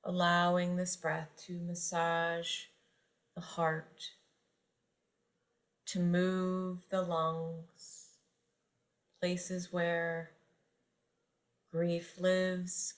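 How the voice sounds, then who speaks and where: A woman guiding a yoga nidra meditation, speaking gently and unhurriedly with long pauses between phrases. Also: fairly low pitch, monotone. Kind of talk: guided meditation narration.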